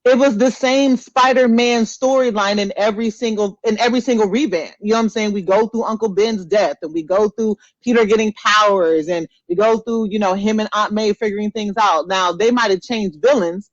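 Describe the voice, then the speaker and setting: A woman's voice: mid-range voice, fairly quick, few pauses, dry recording. A woman co-hosting a podcast in casual, opinionated chat, repeating phrases emphatically to drive her points home. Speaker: podcast co-host. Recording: dull, lo-fi close dry audio.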